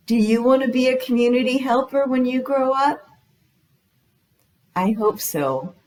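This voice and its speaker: Animated, slow-paced, high-voiced woman hosting storytime. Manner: animated, exaggerated intonation for children; frequent questions addressed to young listeners. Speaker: children's librarian, storytime host. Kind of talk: children's storytime presentation.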